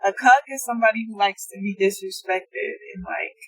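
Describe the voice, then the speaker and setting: A woman's voice: mid-range voice, a little fast, no room sound, rough recording. A woman in her twenties from Texas, a guest chatting casually in a car, hesitant with many fillers. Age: 20s. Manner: hesitant, many ums and likes, relaxed. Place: inside a car.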